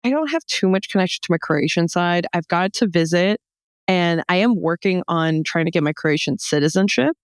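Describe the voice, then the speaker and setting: A young American woman chatting casually as a podcast guest, telling humorous anecdotes in an animated, joking way and acting out bits of dialogue. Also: on the low side, a little fast, clean tone, dry recording, clean studio sound. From Texas, United States. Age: young adult. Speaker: podcast guest, comedy show founder.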